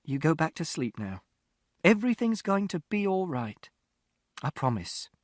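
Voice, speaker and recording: Man playing a mother, pitched up, shrill, close dry voice. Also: normal speed, no room sound. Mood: soothing. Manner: shrill shouting, soothing when calm. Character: upset protective mother.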